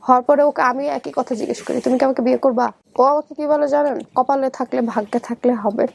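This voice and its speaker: A woman's voice: high-pitched, fairly even intonation, fairly quick, vocal fry. A young woman with a Bangladeshi accent telling her personal story in an interview, conversationally acting out what people said. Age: young adult. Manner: conversational, often re-enacts quoted dialogue.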